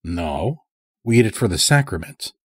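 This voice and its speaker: A man voicing a guarded, terse monster hunter who speaks in a measured way in an audio horror story. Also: fairly low pitch, very animated, husky, no echo, studio quality. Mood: guarded, firm.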